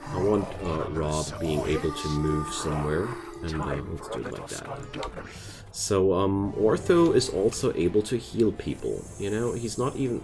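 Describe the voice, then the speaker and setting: Very deep-voiced German man commentating gameplay. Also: creaky. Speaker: gaming video creator. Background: music.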